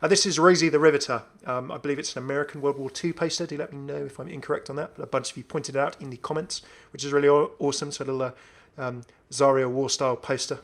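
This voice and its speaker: A man's voice: mid-range voice, fairly even intonation, fast talker, gravelly. A man giving casual, off-the-cuff video game commentary as a host, chatty and often musing aloud. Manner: chatty, musing aloud, asks himself questions.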